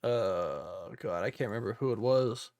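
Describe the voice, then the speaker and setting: A man's voice: on the low side, animated, moderate pace, a little mellow. A man co-hosting a podcast, chatting casually about films with wry interjections and mock reactions. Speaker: podcast co-host. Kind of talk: casual movie discussion chat.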